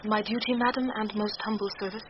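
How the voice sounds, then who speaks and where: A woman's voice: medium pitch, steady tone, fast talker, natural voice. A British woman playing a witty, courteous heroine disguised as a young male page, speaking formal Shakespearean verse in a radio drama. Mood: humble, earnest. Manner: formal, poised verse delivery. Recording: muffled 1990s radio broadcast, clear noise.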